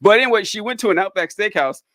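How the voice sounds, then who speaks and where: A man's voice: sits high, lively intonation, quick pace, fairly bright tone, no echo. A man hosting a podcast in casual chat, rambling with lots of fillers and casual swearing. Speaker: podcast host.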